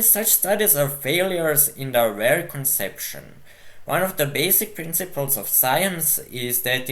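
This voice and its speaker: Man, slow deliberate delivery, blunt and argumentative, commenting on a video. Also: mid-range voice, fairly bright tone. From Croatia. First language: Croatian. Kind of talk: responding to a video.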